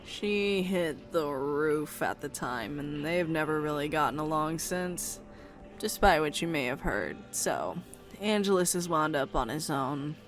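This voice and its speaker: A woman's voice: deep voice, expressive, a little slow, faint music behind. A woman playing a sarcastic, bratty vampire deity in an audio drama, flippant, slangy and impatient. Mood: gossipy, flippant. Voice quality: creaky. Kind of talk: visual-novel audio drama.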